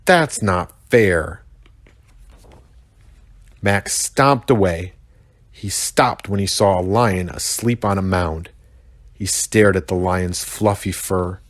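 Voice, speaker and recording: An American man, a pastor, reading a children's story aloud with expressive narration. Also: sits low, slow pace, no room sound.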